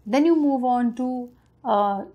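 A woman's voice: on the high side, takes it slowly, muffled recording. A woman in her thirties from India with an Indian English accent, patiently explaining a step-by-step home reading method to parents, with friendly fillers and self-corrections, recorded on camera in her living room. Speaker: parenting channel host. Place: living room at night in front of a large window.

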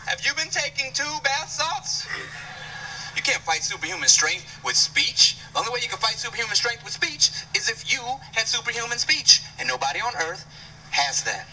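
Man, 30s, from Chicago, stand-up comedian, high bright voice, playful, handheld mic, distant. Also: normal speed. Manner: animated, conversational, playful teasing of the crowd. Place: stage in a large indoor venue. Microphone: handheld microphone. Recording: distant stage mic, faint room noise.

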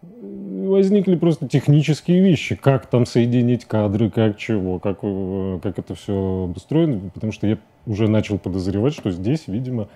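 A man in his forties giving halting, thoughtful answers as a guest in a studio interview. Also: fairly low pitch, warm tone. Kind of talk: reflective studio interview talk. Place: indoor TV studio at a desk.

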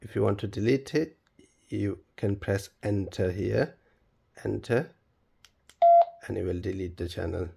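A man presenting a step-by-step tutorial in a plain, halting, instructional manner. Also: lowish voice, vocal fry, warm tone, slight background noise. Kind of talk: step-by-step spoken tutorial, unscripted.

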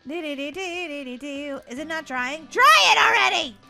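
Woman playing a very high, sassy little girl. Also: normal speed, sharp tone, soft background music. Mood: frustrated. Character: playful, sassy, whiny young girl. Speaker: gaming channel host. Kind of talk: improvised family role-play over a video game.

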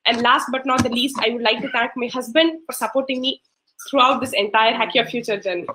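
Woman, graduate demo presenter, high bright voice, earnest and giggly, video call with faint hiss. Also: steady tone, a little fast. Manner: laughs often, warm and earnest. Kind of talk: online presentation talk.

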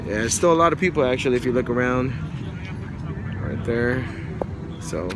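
A man's voice: mid-range voice, expressive, normal speed. A man in his thirties hosting a casual night fishing vlog on a pier, talking into a handheld camera, often whooping and laughing. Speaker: vlog host. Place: outdoors on a harbor pier at night. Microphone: handheld camera mic. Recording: noisy outdoor camera audio. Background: traffic and outdoor noise.

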